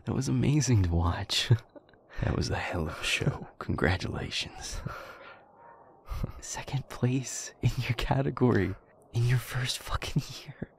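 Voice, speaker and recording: A man playing an earnest, warm-hearted young friend in an intimate audio roleplay, casual, sweary and a bit hesitant. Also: medium pitch, a little slow, vocal fry, slightly rough, whispered, full character voice. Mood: thrilled, proud.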